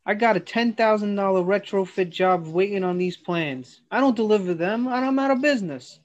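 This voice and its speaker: A man's voice: high-pitched, a little fast. A man doing a dramatic amateur script reading as an exasperated, hot-headed plumber, over a video call. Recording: dull video-call audio.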